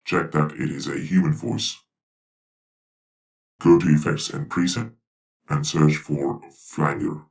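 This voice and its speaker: A man voicing an instructive robot through a pitch-lowered robotic effect, giving deliberate step-by-step tutorial commands. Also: gravelly, far from the mic, heavily acted. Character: instructive robot speaking for a video channel. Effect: robotic pitch-lowered voice with flanger and delay.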